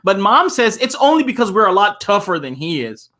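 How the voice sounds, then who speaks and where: A man's voice: sits high, very animated, fairly quick, sharp tone. A man reading a children's book aloud as a storyteller, playful and given to chuckling asides.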